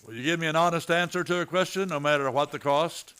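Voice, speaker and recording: An actor playing a shrewd, steady lawman tracker in a western radio drama, with dry, folksy frontier phrasing. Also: a little high, quick pace, smooth. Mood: serious.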